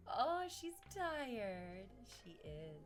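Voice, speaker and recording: A woman's voice: mid-range voice, very animated, extremely slow. Chatty woman streamer with faint music. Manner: chatty, talks to her dog in cooing baby talk. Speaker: livestreamer. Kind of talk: casual livestream chat.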